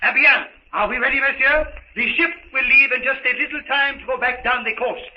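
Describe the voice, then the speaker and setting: Casting: French naval officer, brisk, high-pitched, French accent, muffled 1930s radio drama. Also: male voice. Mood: brisk, commanding. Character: French male naval lieutenant, brisk, commanding. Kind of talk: 1930s radio serial acting. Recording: muffled faintly hissy 1930s radio recording.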